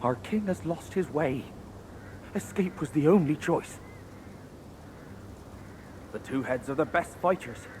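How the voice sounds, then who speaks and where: An actor playing a wary rat exile in a video game, earnest and guarded, weary but resolute. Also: male voice, on the high side, expressive, slow pace, pauses often, background noise. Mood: grave, fearful. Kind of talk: video game character acting.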